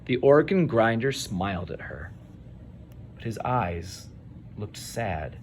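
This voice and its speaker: A man reading a children's story aloud, with measured delivery and dramatic pauses. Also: fairly low pitch, very animated, background noise. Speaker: storybook reader. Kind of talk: expressive children's read-aloud narration.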